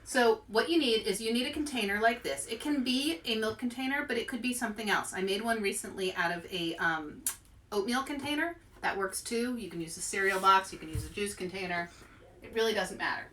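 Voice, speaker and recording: A woman reading aloud and demonstrating for children in an animated, expressive way, as a children's author and host. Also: mid-range voice, brisk, distant mic, slight background noise. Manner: animated, expressive delivery to young listeners.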